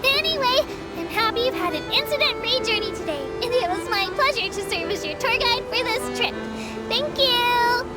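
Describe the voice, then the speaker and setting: Tiny girly creature tour guide from a video game, super high bouncy voice, polite n eager but kinda shy and flustered, loud music behind. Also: animated, moderate pace. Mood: cheerful, informative. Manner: polite, eager, self-deprecating, easily flustered. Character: small childlike female creature with feelers, eager bashful tour guide.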